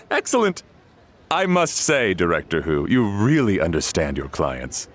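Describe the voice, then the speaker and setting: A man's voice: low-pitched, huge pitch swings, measured pace, slight background noise, full character voice. A man playing a courteous, deferential and fussy household butler in a video game. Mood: delighted.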